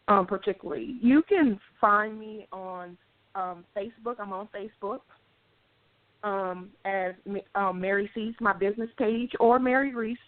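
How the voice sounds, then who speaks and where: A woman's voice: medium pitch, no room sound. A woman life coach speaking as a radio guest, earnest and hesitant, with many ums. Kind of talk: casual interview chat. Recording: dry, tinny phone-line sound.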